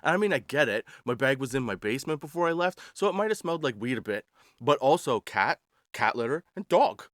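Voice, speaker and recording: A man's voice: mid-range voice, big pitch swings, fairly quick, no room sound, studio quality. A man in his forties hosting a podcast, telling personal stories in a wry, slangy, self-deprecating comedic style. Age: 40s. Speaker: podcast host. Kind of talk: comedic personal storytelling monologue.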